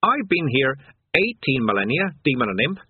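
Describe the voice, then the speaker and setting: A man voicing a weary, sullen male demon torturer with a coarse, gruff working-class manner. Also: medium pitch, sing-song, normal speed, no echo, narrow, tinny audio, voice pushed up. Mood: grumbling.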